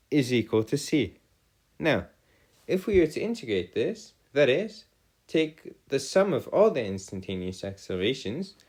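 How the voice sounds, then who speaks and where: Male tutor, mid-pitched and very varied in intonation, formal wording, halting step-by-step explanation. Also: darkish tone. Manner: formal, wordy phrasing; halting, with frequent pauses and self-corrections. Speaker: math and physics tutor. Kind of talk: explanatory lesson, worked step by step.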